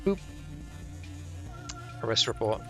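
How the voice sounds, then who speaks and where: A man streaming a visual novel, reading the text aloud with irreverent, offhand asides. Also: slow pace. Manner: irreverent, ad-libs jokes and mutters reactions. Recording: music playing behind the voice. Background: game music.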